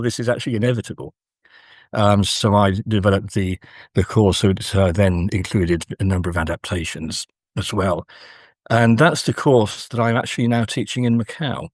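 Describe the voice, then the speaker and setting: A middle-aged male academic answering in a conversational interview, speaking haltingly with frequent pauses. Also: on the low side, no echo, studio quality. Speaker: guest academic, university professor.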